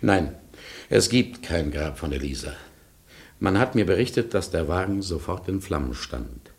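A gravelly, haunted man recounting loss. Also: low voice, lively intonation, normal speed, exaggerated voice. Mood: sombre recollection. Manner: grave, dramatic storytelling. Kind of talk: German radio drama acting, narration.

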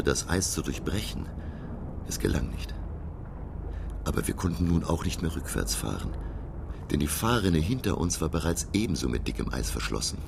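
An actor playing a learned, curious professor who narrates his voyage in a radio drama, in a measured, reflective way. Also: very deep voice, heavily acted. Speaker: narrator and character. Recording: clearly noisy radio drama recording.

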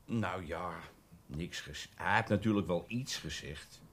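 An actor playing a cagey, boastful small-time ex-burglar in a Dutch radio drama, evasive, colloquial and grudgingly deferential. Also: male voice, low-pitched, measured pace, a bit bright, exaggerated voice. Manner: evasive, colloquial, hesitant, self-justifying.

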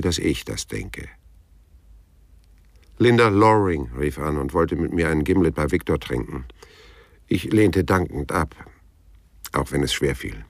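A hard-boiled private eye for a radio play. The voice is very low and husky, closely and dryly recorded, and the delivery is blunt and laconic. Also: male voice, no room sound, heavily acted. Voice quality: gravelly. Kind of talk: German radio crime drama acting and narration.

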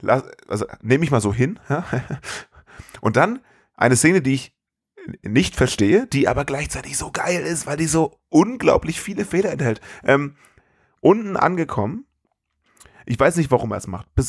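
A man co-hosting a podcast, telling film scenes in a casual, colloquial and animated way. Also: medium pitch, moderate pace, slightly rough, no echo, studio quality. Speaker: podcast co-host. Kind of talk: casual film-review chat.

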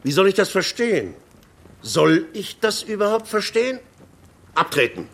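An actor playing a brusque, mocking army doctor who barks condescendingly at young recruits in a German radio drama. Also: male voice, high-pitched, big pitch swings, moderate pace, full character voice. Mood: stern, commanding. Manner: sardonic, barking, condescending.

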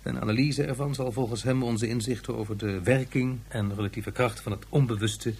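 Scientific official: male, very husky, mid-pitched, formal, deliberate, deferential radio drama delivery. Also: steady tone, a little mellow. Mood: cautious, deferential. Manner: formal, deliberate, hesitant, throat-clearing. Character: earnest male scientific official reporting to superior. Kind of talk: science fiction radio play acting.

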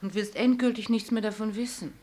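An actress playing a disappointed, reproachful wife in a German radio play, speaking in a subdued way. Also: female voice, mid-range voice, steady tone, brisk, darkish tone, natural voice.

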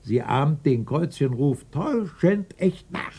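An actor playing an eccentric, volatile man in his sixties in a radio play, excitable and erratic, with a raspy voice. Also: fairly high pitch, full character voice. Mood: chatty. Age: 60s. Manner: excitable, erratic, quick to outrage. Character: eccentric, volatile 65-year-old man in a lonely farmhouse. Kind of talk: German radio play acting.

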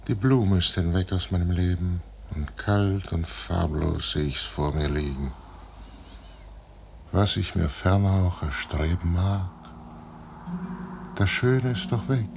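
Deep, slow, weary general. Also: male voice, creaky, darkish tone, slight background noise, heavily acted. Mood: mournful. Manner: measured, grave, declamatory. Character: proud, weary, fatalistic aging male general and duke. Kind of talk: classical German verse radio drama.

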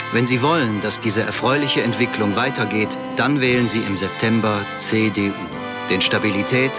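A man plays a campaign announcer in a scripted election spot. His voice is low, with music strong behind it. The delivery is solemn and promotional. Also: lightly acted. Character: solemn, smooth male political campaign advert announcer. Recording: loud music under announcer.

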